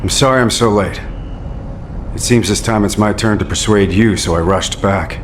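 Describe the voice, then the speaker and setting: A man playing a deep-voiced earnest officer. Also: moderate pace, background noise, natural voice. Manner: earnest, persuasive, calm. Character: earnest male executive officer, remorseful leader. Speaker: executive officer. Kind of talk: video game cutscene acting.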